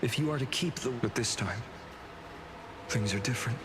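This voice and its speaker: An actor voicing a solemn, gentle and resolute male hero in a video game, with measured, earnest delivery and dramatic pauses. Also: mid-range voice, background noise, lightly acted. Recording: game audio under loud music.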